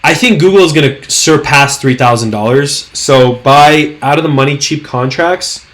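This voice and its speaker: Hoarse, hyped young trading host. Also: male voice, a little high, normal speed, fairly bright tone. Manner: hyped, slangy, rambling with fillers. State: hoarse, losing his voice. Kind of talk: casual screen-share market commentary.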